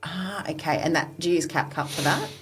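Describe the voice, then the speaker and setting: A woman hosting a podcast interview, chatty and friendly, asking quick questions and chiming in often. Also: sits low, background noise. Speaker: podcast host. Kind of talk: casual interview chat.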